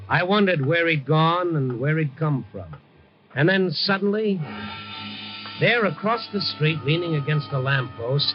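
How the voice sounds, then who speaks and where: An actor with an American accent playing a wry, streetwise reporter, narrating in punchy 1950s radio drama style. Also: male voice, fairly high pitch, lightly acted. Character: brisk, wry, streetwise male newspaper reporter. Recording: muffled vintage 1950 radio recording.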